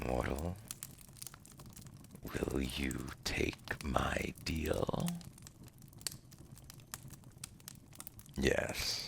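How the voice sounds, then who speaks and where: A man playing a seductive, smug ancient demon dealmaker in an ASMR roleplay, slipping between breathy whispers and a gravelly murmur with slow, theatrical, formal delivery. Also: rumbling low, fairly bright tone, a little hiss or hum, heavily acted. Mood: seductive, menacing.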